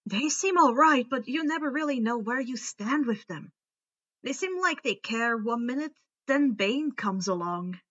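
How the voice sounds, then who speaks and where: A woman voicing a huffy, exasperated teenage boy who is secretly a dark creature, in an indignant, matter-of-fact way. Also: a little high, lively intonation, measured pace, muffled recording. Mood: grumbling.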